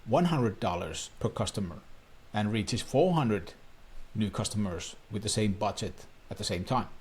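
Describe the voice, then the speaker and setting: A man's voice: fairly low pitch, animated, moderate pace, slightly rough. A man from Finland, a native Finnish speaker, coaching viewers on online marketing in an earnest talking-head explainer with plain, slightly non-native phrasing.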